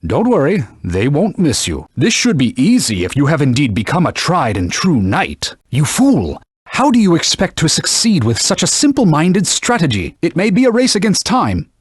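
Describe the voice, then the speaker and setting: A man's voice: fairly high pitch, slightly rough, no room sound, studio quality, exaggerated voice. A man voicing a haughty magic sword in a video game, mentoring a young knight with pompous, scolding, archaic knightly speech. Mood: instructing, then exasperated, scolding.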